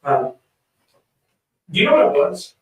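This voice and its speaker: Super echoey far off guy questioning a witness, formal, halting. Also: fairly high pitch, huge pitch swings, a bit bright. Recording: very echoey playback, distant mic.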